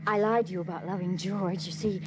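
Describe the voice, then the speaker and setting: An actress playing an anxious, defiant young femme fatale, earnest and dramatic, in a 1950s radio detective drama. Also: female voice, fairly low pitch, fairly even intonation, brisk, close to an everyday voice. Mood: coy, questioning. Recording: noisy old radio recording with hum.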